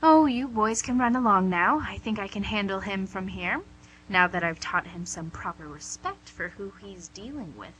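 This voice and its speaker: A woman's voice: medium pitch, expressive, normal speed, fairly bright tone, lightly acted, low-quality recording. A woman playing a suave female devil boss in an amateur radio comedy, pedantic, condescending and mock-polite. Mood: smug, commanding. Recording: amateur radio recording with hum, noise.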